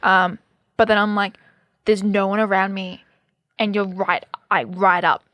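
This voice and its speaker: A woman's voice: medium pitch, level tone, unhurried, fairly bright tone, no echo. A young woman co-hosting a podcast, telling a story in a casual way with hesitant fillers. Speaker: podcast co-host.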